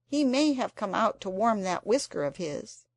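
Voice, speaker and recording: A woman's voice: mid-range voice, very animated, normal speed, no room sound, clean studio sound. A woman voicing an earnest young girl in a storybook, pleading and a little dramatic. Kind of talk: storybook character voice.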